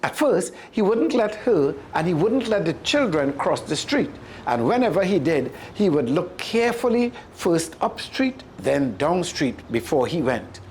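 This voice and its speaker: Expressive Caribbean storyteller with lively pitch. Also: measured pace, few pauses, a little hiss or hum. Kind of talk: expressive read-aloud storytelling.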